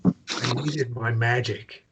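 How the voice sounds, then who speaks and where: A man acting a weary, tender, quietly devoted character in a drama script read. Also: mid-range voice, fairly even intonation, slow, very noisy, close to an everyday voice. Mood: earnest.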